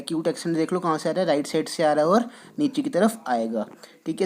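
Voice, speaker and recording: A man teaching a French lesson, patiently repeating each point and reading rules slowly. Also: a little high, darkish tone. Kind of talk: explaining a language lesson, mixing in English.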